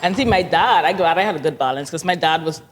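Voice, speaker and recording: A young woman with a Bahamian accent, co-hosting a podcast and telling animated stories with laughter running through her talk. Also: lowish voice, fast. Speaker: podcast co-host. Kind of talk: casual chat. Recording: reverberant room, faint hiss.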